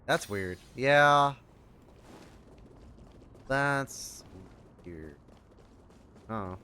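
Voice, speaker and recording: A man's voice: mid-range voice, lively intonation, drawn-out words, sharp tone, a little hiss or hum. A man livestreaming a game, reacting casually and reading text aloud in informal, self-interrupting chatter. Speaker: game streamer. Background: game music.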